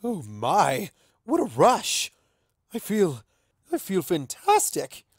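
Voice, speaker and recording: A grand, imperious pony princess acted out by a man, pitched up from his natural voice for a fantasy story reading. The delivery is theatrical and formal, with a playful edge, and sounds close and dry. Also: wildly swooping pitch, slow, no room sound, exaggerated voice. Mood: elated, giddy.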